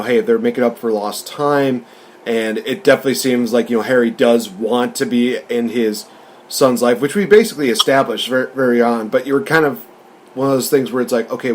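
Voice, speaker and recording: A man's voice: mid-range voice, slight background noise. A man hosting a casual review discussion, recapping and commenting in an informal, conversational way. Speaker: podcast host reviewing films.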